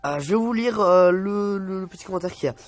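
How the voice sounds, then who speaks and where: A man's voice: high-pitched, fast talker, dry recording. A young man vlogging casually, reading an article aloud and stumbling over words, with many fillers. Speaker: youtuber.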